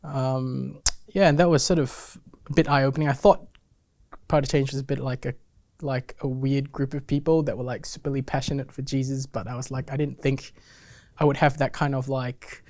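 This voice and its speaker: Male interview guest, late twenties, from Malaysia, mid-pitched, informal and self-effacing, rambles casually. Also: little pitch movement, normal speed, darkish tone. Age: late 20s. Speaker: interview guest, campus ministry missionary. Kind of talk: casual chat.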